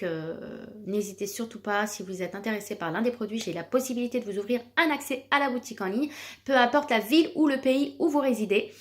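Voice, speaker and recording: A woman with children, chatting casually while demonstrating a cream she sells, full of fillers and repeated words. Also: medium pitch. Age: adult with children. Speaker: product seller vlogger.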